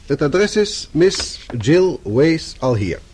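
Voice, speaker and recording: A man's voice: fairly high pitch, big pitch swings, takes it slowly, a little mellow, a little hiss or hum. A man acting in a radio play as a stern, middle-aged boss who speaks formally with dry sarcasm. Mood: curt, stern. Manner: formal, clipped, dryly sarcastic. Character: stern, sardonic middle-aged male boss, fatherly and disapproving.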